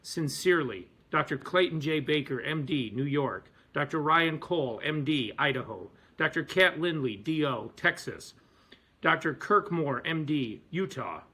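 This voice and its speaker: Forceful, slightly husky man giving a speech. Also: a little high, animated, measured pace. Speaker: physician and activist. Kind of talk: prepared advocacy speech.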